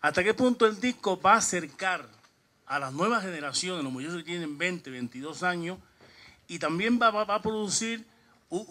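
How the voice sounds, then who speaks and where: A middle-aged man, a journalist asking a question at a press conference in a halting, repetitive way. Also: sits high, bright, forceful tone. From Cuba. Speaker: newspaper journalist.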